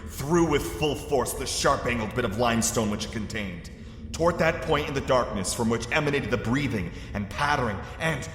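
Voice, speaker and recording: A man's voice: medium pitch, fairly bright tone, lightly acted. A man acting a terrified lost explorer, narrating his ordeal in formal, theatrical gothic horror style. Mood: frantic excitement. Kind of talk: dramatic first-person gothic horror narration. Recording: echoey cavern-like reverb, faint noise.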